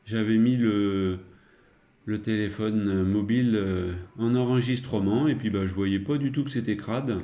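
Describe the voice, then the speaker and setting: A man's voice: fairly low pitch, normal speed, mellow. A man chatting casually as a radio operator, in a rambling, hesitant way. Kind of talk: casual radio contact chat.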